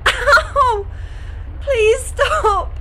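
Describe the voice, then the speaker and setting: A woman acting as an expressive young shop worker, the heroine's affectionate best friend, in an amateur radio drama. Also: extremely high pitch, drawn-out words, heavily acted, low-quality recording. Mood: terrified pleading. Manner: teasing, expressive.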